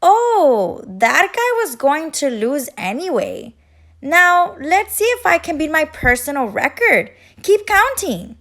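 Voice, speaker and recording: A young female duck from a children's storybook, voiced by a woman, bossy and boastful. The voice is very high and lively, leaping about with loud, competitive excitement. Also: takes it slowly, full character voice. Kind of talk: animated storybook character voice.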